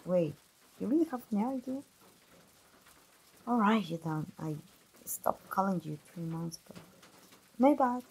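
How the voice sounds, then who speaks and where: A woman's voice: mid-range voice, vocal fry, darkish tone, husky, heavily acted, rough recording. A woman, voicing a chatty, teasing young best friend in an ASMR roleplay, playful and familiar, as if speaking straight to the listener. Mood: sheepish. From Mexico. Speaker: voice actor.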